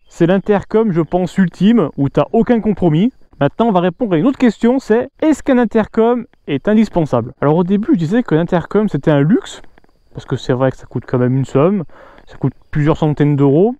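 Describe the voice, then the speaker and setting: A man's voice: high-pitched, mellow, no echo, dull audio. A man talking casually and informally to viewers as a motorcycle vlogger, explaining and reviewing gear.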